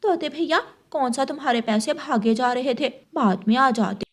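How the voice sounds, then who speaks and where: A woman's voice: high voice, normal speed. A woman voicing a sassy, bossy female crow who hawks street food and teases her customers in a children's cartoon. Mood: teasing. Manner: calling out like a street vendor, teasing customers. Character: sassy female crow pani puri hawker with a bossy streak.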